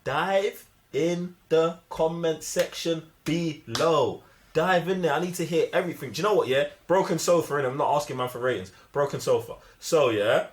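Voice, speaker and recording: A man's voice: a little high, normal speed. A man with a London accent in casual, opinionated chat as a review co-host, full of slang, insistent and repeating himself for emphasis. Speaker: co-host of a film review show.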